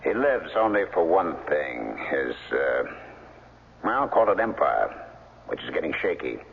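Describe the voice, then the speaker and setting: Bossy secretive aide, measured and gravelly, old radio play, big reverb, muffled phone-like sound. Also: male voice, a bass voice, very animated, slight background noise, heavily acted. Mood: grave, assured. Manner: measured, authoritative explaining. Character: secretive, commanding male aide to a billionaire. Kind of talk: 1970s radio drama acting.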